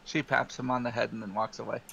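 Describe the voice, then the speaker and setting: Medium-pitched man roleplaying, noisy recording. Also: level tone, fast, dull audio. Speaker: tabletop game player. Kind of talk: casual roleplay, narrating own character. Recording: clearly noisy background.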